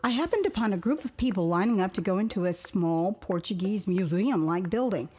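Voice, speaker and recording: Expressive American woman storyteller over music. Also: medium pitch, brisk. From Houston, Texas, United States. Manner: expressive and theatrical, with amused asides. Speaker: author reading her own memoir. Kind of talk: dramatized first-person storytelling narration.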